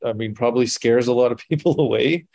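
A man hosting a podcast over a video call, talking in a relaxed, conversational way. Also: mid-range voice, fast talker. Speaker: podcast host. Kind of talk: casual chat.

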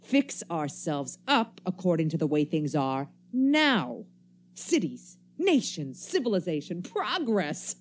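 A woman's voice: lowish voice, very animated, slow pace, slight background noise. A woman acting a wary, embittered survivor in a radio play, with folksy, slangy, cynical speech. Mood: scheming, scornful. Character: wary, embittered survivor stranger armed with a knife.

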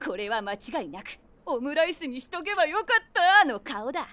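A nosy middle-aged woman with a runaway imagination, telling a comic audio drama as inner monologue. Her voice is fairly high and very animated. She drops wry, theatrical asides as she passes judgment. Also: moderate pace. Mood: wry, teasing. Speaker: narrator and main character.

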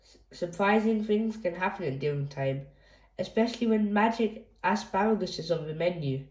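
A woman's voice: on the low side, normal speed, a little mellow, roomy sound. A woman hosting a children's read-aloud, reading a picture book expressively for young listeners.

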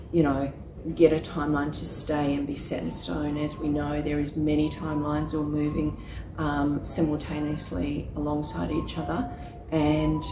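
A woman with a low voice, a touch croaky, talks in a loose, rambling check-in full of fillers and restarts. The sound bounces around an echoey space, with clear background noise throughout. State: slightly croaky voice. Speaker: psychic reader and vlogger. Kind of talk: casual check-in vlog. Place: small courtyard with potted palts, outdoors. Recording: echoey with loud ambient noise.

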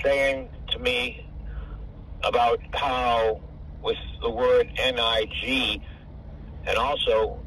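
A man's voice: medium pitch, fairly bright tone. An older man, over 65, talking in a rambling, profane, hard-to-follow way on a phone call. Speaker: caller. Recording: distant phone call with faint car noise.

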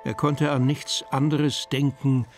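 A man's voice: medium pitch, level tone, a little slow. Male storybook narrator over music. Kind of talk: scripted storybook narration for an audio drama.

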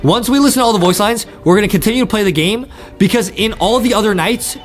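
A man in his twenties giving excited gameplay commentary, eagerly repeating his phrases. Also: sits high, fast. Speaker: gaming video host. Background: faint game music.

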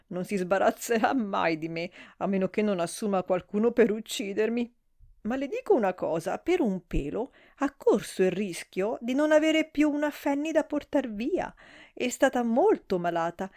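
A woman voicing a brash, gossipy middle-aged woman who speaks in shrill, exclamatory outbursts. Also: a little mellow.

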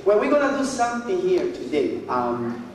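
Brief: young male pastor, Spanish accent, high lively voice, echoey church heard from far off. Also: measured pace, slight background noise. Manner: animated, switches between English and Spanish, rising pitch when excited. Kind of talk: bilingual sermon. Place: large church, at a pulpit. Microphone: gooseneck pulpit mic, far camera.

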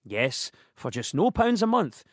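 A man hosting a comedy radio show, leading panel chat with quick, teasing banter. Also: fairly high pitch, big pitch swings, slightly rough, no echo, studio quality. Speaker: host.

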